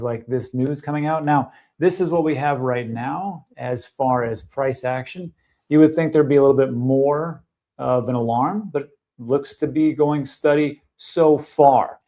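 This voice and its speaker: A man streams live from his desk, walking viewers through price charts in a loose, conversational way. He thinks aloud, hedges, and pads his sentences with fillers. His voice sits in an ordinary middle pitch. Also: mellow. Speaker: crypto market commentator.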